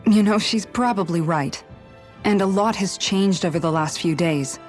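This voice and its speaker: A woman's voice: fairly low pitch, normal speed, darkish tone, close to an everyday voice. A woman playing a dutiful, composed young former palace guard in a video game, speaking earnestly and considerately. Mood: thoughtful. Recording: music underneath. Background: background music.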